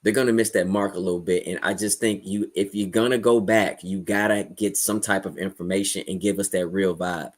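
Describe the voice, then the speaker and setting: Low-voiced American man making his case. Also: fairly even intonation, brisk, few pauses. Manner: opinionated, explanatory, checks in with "right?". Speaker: podcast panelist. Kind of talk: casual livestream discussion.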